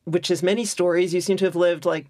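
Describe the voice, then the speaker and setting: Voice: medium pitch, steady tone, fast talker, no room sound, studio quality. A podcast host who teaches writing, talking casually and effusively with lots of fillers and restarts. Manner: rambling, many fillers and restarts, warmly effusive. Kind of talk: casual interview chat.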